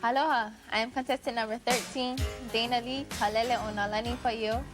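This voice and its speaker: An earnest eighteen-year-old woman introduces herself with warmth, her voice slightly high. Music plays behind her. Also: fairly even intonation, brisk. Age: teens. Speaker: pageant contestant.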